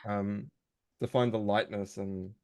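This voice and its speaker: A man's voice: lowish voice, fairly even intonation, slow pace, no echo. A man chatting casually as an interview guest, often restarting his phrases as he goes. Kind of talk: casual chat.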